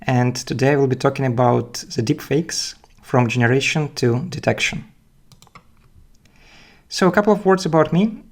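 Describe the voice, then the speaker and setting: Halting man with a Belarusian accent. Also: medium pitch. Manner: halting, repeats words. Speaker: guest speaker, data scientist. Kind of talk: technical presentation and interview answers.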